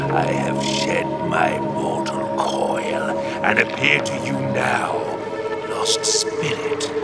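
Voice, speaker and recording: A man's voice: mid-range voice, gravelly, heavily acted. A man playing a pompous, bumbling ghost of a paranormal scholar in a video game, speaking with theatrical grandeur. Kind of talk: video game cutscene acting. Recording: loud music behind the voice.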